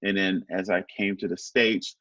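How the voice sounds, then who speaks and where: A man's voice: low voice, fairly even intonation, moderate pace, sharp tone, no room sound. A middle-aged man from Haiti, a teacher answering student interview questions thoughtfully, with many fillers and a habit of adding "right?".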